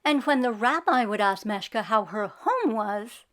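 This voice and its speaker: A woman storyteller reads aloud for children in a high, very lively voice. She takes her time, leaning on key words with dramatic emphasis. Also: a little slow. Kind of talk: expressive children's storybook narration.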